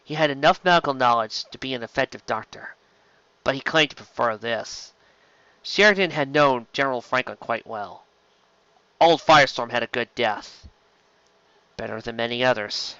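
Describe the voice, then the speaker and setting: Male narrator, bright forceful voice, dramatic story reading, very dry, slightly dull recording. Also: mid-range voice, expressive, moderate pace, pauses often, clean tone, no room sound. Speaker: reader of fan fiction.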